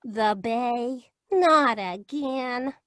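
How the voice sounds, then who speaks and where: An actress playing a playful, adoring young secretary in an old-time radio drama, giggly and sweet. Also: female voice, on the high side, very slow, fairly bright tone, dry recording, studio quality. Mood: exasperated.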